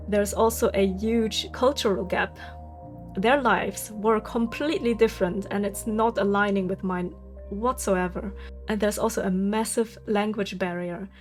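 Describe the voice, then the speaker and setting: A woman, talking straight to her viewers in a casual vlog. She vents openly, swears freely and breaks into laughs, at a middle pitch, with soft music playing underneath. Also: fairly even intonation, measured pace. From Germany. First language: German. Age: 30s. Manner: candid, venting, swears freely, laughs often. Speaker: vlogger.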